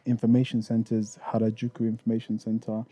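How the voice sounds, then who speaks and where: A man's voice: on the low side, completely flat delivery, a little fast, mellow, no echo. A man raised in the UK, talking casually as a podcast guest about touring in Japan, with many restarts and fillers. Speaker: guest, Japan tour organizer.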